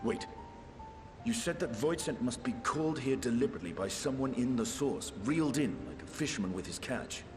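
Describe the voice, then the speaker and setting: Video game role: skeptical male warrior, played by an actor; blunt, probing, formal lines at medium pitch, clear music underneath. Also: lively intonation, brisk, close to an everyday voice. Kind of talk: scripted video game dialogue.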